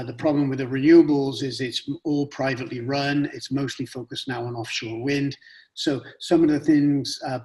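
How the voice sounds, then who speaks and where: Male speaker on conference call, hesitant with fillers, mid pitch, distant mic. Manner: hesitant, lots of ums. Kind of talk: call presentation.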